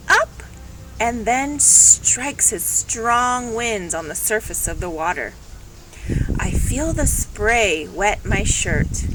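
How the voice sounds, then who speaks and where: A woman reading a children's picture book aloud in an expressive, unhurried storytelling manner, with dramatic pauses. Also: on the high side. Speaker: storybook reader. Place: at home, with construction noise. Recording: home recording with loud background noise. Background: construction noise, animal sounds.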